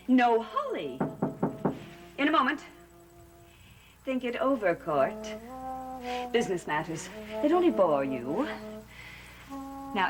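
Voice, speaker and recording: A woman's voice: mid-range voice, poor audio. An actress playing a cold, commanding witch who leads a coven, in a 1960s soap opera, with a cool, controlling manner. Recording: old TV soundtrack with music. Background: music.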